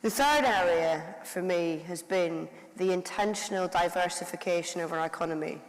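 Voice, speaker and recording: Woman introducing a keynote, formal and measured, lowish voice, long reverberant hall. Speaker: council leader introducing the keynote. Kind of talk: prepared conference speech. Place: large conference hall.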